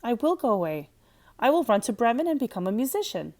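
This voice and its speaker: A woman's voice: on the high side, sing-song, fast, darkish tone. A woman voicing an eager, kindly old male donkey in a children's storybook.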